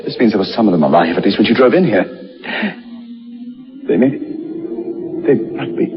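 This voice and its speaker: An actor playing a desperate, anxious gentleman in a radio horror drama, halting and repeating himself in agitation. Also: lowish voice, animated, over music, close to an everyday voice. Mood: shaken, overwrought. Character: desperate, anxious gentleman searching for a lost ship. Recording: muffled old radio recording.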